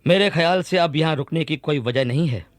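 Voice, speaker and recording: A man acting a calm military officer in a radio drama. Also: a little high, a little fast, lightly acted. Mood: relieved.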